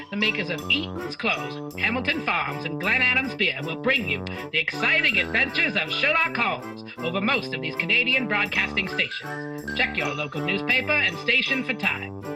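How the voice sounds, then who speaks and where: A woman's voice: mid-range voice, close to an everyday voice, rough recording. A woman playing a polished 1940s radio announcer reading sponsor messages.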